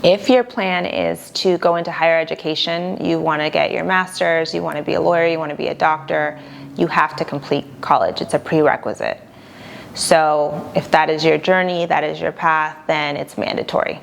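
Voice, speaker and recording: A young woman attorney from Argentina answering interview questions in a calm, measured, plain-spoken way. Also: low-pitched, creaky, slight background noise.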